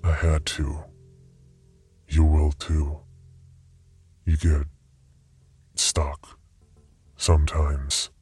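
Man doing a detached weary stranger, husky, very slow, dead flat delivery. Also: rumbling low, faint music behind, voice pushed down, exaggerated voice. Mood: flat resigned.

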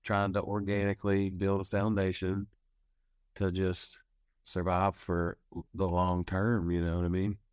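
A man in his thirties, a guitarist guest, speaking haltingly and repeating phrases. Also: sits low, completely flat delivery, a little mellow, clean tone, no echo, clean studio sound. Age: 30s. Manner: halting, repeats himself as he searches for words. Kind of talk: casual interview.

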